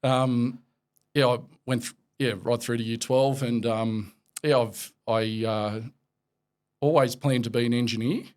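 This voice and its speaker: An Australian man in his forties, a podcast guest talking in a relaxed, rambling way with lots of fillers and restarts. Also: medium pitch, unhurried, clear, resonant tone. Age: 40s. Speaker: podcast guest, company executive. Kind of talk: casual interview chat.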